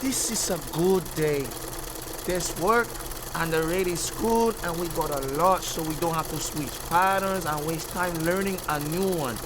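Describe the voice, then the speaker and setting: A woman's voice: on the low side, unhurried, close to an everyday voice. An actress playing a worldly-wise working mother in a garment shop, streetwise and matter-of-fact, with a Spanish-accented New York English, in a comedic radio drama. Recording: noisy rattling sewing-machine workshop ambience.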